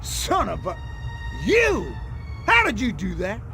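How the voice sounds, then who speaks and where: Bombastic high-pitched American general. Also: male voice, huge pitch swings, slow pace, creaky, over music, full character voice. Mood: furious. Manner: blustering, theatrical, indignant. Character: belligerent paranoid American military general, bombastic cold warrior.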